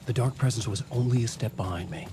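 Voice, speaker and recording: An actor playing a haunted, brooding writer, delivering terse, serious hard-boiled narration in a video game. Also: male voice, mid-range voice, fast talker, noisy background, natural voice. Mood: tense, brooding. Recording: music behind the voice.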